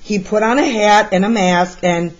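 A woman reading a children's picture book aloud as a storyteller, with deliberate, expressive delivery. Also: mid-range voice, lo-fi sound. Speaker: read-aloud storyteller, art teacher.

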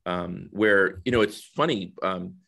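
A man in his fifties with a low voice talks as an interview guest. He explains things conversationally, pausing and restarting as he goes, and often checks in with a short tag question. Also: gravelly, bright, forceful tone. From El Salvador, raised in the United States. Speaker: guest, corporate diversity executive. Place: indoor office, video call. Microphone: headset on a video call.

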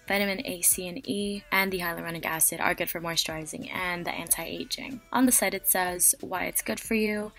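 A young woman in her twenties, slightly hoarse from a cough, chatting casually through a product review into a close webcam in a small bedroom. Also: fairly low pitch, fairly even intonation, a little fast, hardly any pauses, fairly bright tone, faint music behind. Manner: chatty, fillers like "like". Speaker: beauty vlogger. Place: small dim bedroom, indoors. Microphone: webcam at close range.

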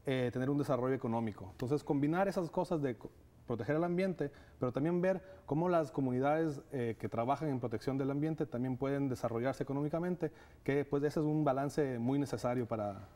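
Ecuadorian man in his thirties, mellow. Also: medium pitch, fairly quick. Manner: earnest, many "eh" fillers. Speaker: guest, nonprofit director. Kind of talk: studio interview. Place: TV studio set. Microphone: lapel mic.

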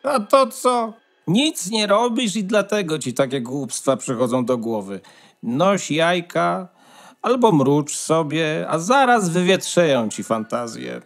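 Guy doing a bossy smug hen in a fairy tale play, lecturing, very animated highish voice. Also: slow pace, vocal fry, heavily acted. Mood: snappish. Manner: condescending, lecturing. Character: bossy, smug female hen, scolding and self-important.

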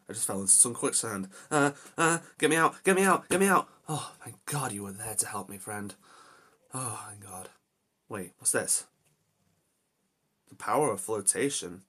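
Man acting young male adventurer, slightly deep voice, nervy, protective, dramatic self-talk, homemade cartoon character lines. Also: a bit bright. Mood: panicked, then relieved, puzzled. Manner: talking to himself, exclamatory, dramatic. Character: anxious, reluctant young adult male adventurer hero, protective. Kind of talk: acted character voice lines, amateur.

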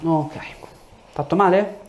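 A man's voice: a little high, slow pace. A man, working as a chiropractor, giving a patient short instructions, check-in questions and hands-on explanations. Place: small treatment room, indoors. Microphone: lapel mic. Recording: echoey room with background noise.

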